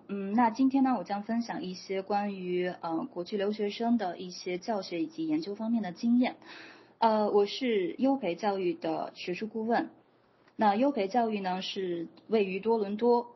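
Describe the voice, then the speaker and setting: A woman working as an academic advisor interprets the talk, speaking steadily with frequent filler pauses. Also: medium pitch. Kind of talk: consecutive interpreting. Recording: dull lo-fi audio with faint noise.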